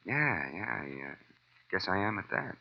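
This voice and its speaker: An actor playing a wry, world-weary American private detective, narrating in a 1940s radio drama style. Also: male voice, low-pitched, sing-song, a little slow, creaky, full character voice. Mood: soft, hesitant. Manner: hard-boiled, wry, slangy. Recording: muffled vintage radio recording, loud music.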